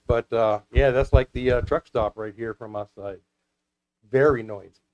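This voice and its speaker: Man, mid-pitched voice, folksy rambling storyteller, casual hobby chat, slightly muffled lo-fi recording. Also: steady tone, normal speed, darkish tone. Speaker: guest, CB radio hobbyist. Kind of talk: casual hobby talk with anecdotes.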